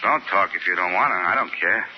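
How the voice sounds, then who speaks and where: A naive, talkative male drifter, played by an actor in an old radio drama. His voice is fairly low and he rambles in a folksy, eager way, on a dull recording with faint noise. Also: fairly quick, natural voice. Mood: chatty, pleased. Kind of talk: 1940s radio acting. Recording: dull, noisy vintage 1940s radio recording.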